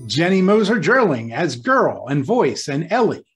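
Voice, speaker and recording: A man's voice: on the high side, very animated, moderate pace, faint music behind. A man reading scripted credits as the announcer of a radio play.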